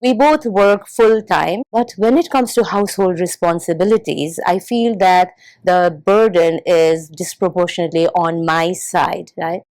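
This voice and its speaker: A woman hosting a podcast panel discussion, with a lowish voice. She speaks in a deliberate, scripted way as she introduces her guests. The sound is dry, with no room around it. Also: darkish tone, dry recording. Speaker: podcast host.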